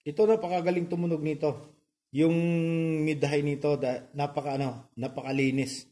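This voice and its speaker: Filipino guy doing a repair video, mellow relaxed voice, walks you thru it step by step, keeps asking if you follow. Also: a little high, normal speed. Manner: explains step by step, often seeking confirmation. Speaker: repair channel host. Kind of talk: casual hands-on repair demonstration.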